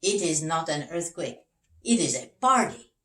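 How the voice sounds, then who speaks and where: Woman playing a confident storybook detective, deliberate, emphatic, animated pitch, echoey room. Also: distant mic. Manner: declarative, emphatic reasoning. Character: confident, deliberate little male detective Big Max. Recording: roomy echo, away from mic.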